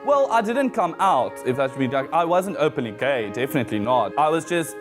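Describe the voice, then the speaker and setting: A man's voice: a little high, sing-song, a little fast, over music. A man in his twenties with a South African accent, a guest talking casually and laughing often in an interview. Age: 20s.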